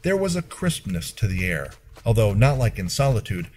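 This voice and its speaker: Expressive male storyteller with lively pitch swings. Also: normal speed, slight background noise. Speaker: story narrator. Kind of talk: dramatic fantasy audiobook narration in first person.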